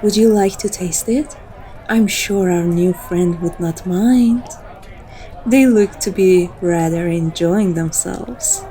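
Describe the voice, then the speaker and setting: A woman's voice: on the low side, slow, mellow, rough recording. A woman playing a flirtatious, teasing female vampire in an intimate, coaxing ASMR roleplay. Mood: indulgent, teasing.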